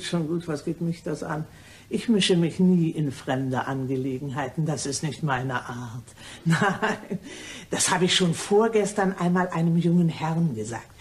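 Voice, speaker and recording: Woman acting a nosy chatty cleaning lady in a radio crime play, gossips away cheerfully. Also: lowish voice. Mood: gleeful gossip. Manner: gossipy, animated, chuckling.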